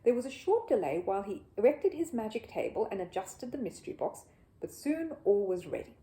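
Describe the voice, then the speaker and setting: A woman narrates a children's storybook with a soft, mellow tone. Her pitch moves around a great deal, giving the reading a lively, expressive shape. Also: a little fast, low-quality recording. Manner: animated.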